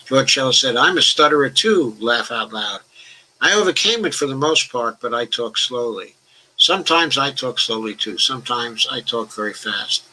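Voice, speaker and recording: Older male host, bright tone, relaxed comment-reading chat, mild stutter. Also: medium pitch, moderate pace, slight background noise, low-quality recording. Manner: talks about his own stutter, some restarts. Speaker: host of a political discussion show. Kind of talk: casual live-stream chat, reading out viewer comments.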